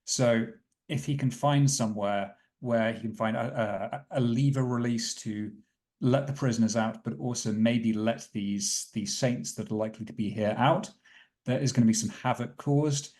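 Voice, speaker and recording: A man's voice: mid-range voice, normal speed, a little mellow. A man plays a tabletop roleplaying game, spinning the story aloud as he goes. His delivery is thoughtful and wordy, with a dryly theatrical streak. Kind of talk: improvised story narration at a game session.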